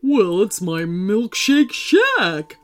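A woman voicing a friendly, earnest male sea monster from a children's storybook, with drawn-out words. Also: a little high, very animated, darkish tone, faint music behind. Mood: proud, friendly. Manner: slow, drawn-out, earnest delivery. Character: friendly male sea monster, eager dreamer, easily disappointed.

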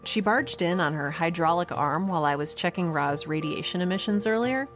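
An actress playing a wry lone space scientist who records a log with dry humour in an audio drama. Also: female voice, on the low side, expressive, brisk, music in the background, close to an everyday voice. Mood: wry, mildly exasperated.

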